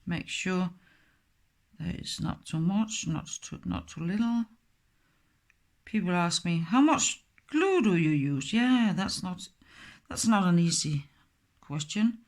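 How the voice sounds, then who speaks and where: A middle-aged woman with a Danish accent, a native Danish speaker, talking slowly and hesitantly through a step-by-step craft tutorial with many fillers. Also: on the low side, animated.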